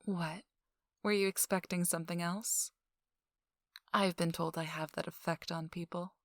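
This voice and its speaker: Woman playing a slow, sultry, teasing socialite. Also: lowish voice, fairly even intonation, no room sound, studio quality. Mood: smug, proud.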